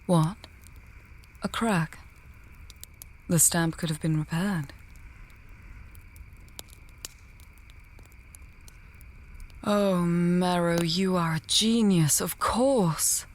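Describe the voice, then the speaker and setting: A woman playing an earnest, scholarly female mage in a fantasy audio drama, measured and thinking aloud, dryly testy. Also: fairly low pitch. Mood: intrigued.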